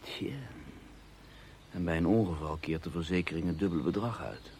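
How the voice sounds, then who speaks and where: An actor playing a scheming, needling husband in a radio thriller, with a sardonic, goading manner. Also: male voice, deep voice, moderate pace, darkish tone, a little hiss or hum. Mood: coldly calculating. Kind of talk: radio play acting.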